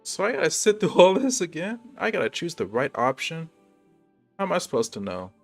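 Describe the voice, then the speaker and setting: Guy livestreaming a game, animated casual reactions, slangy, bit of music in back. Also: fairly high pitch, fairly quick, gravelly. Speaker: game streamer. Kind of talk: casual live gameplay commentary.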